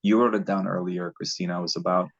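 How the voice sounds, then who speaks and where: Low-voiced male coach, earnest and meandering, heard over a muffled call line. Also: fairly even intonation, fast, gravelly. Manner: earnest, loose and rambling, laughs at own slips. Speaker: guest relationship coach. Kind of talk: casual spiritual advice talk. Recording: dull lo-fi audio.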